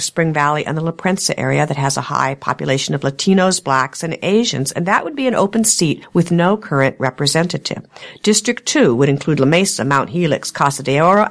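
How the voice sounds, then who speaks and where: A woman hosting a local public affairs talk show, hoarse today, speaking in a steady, measured news style. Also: deep voice. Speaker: host.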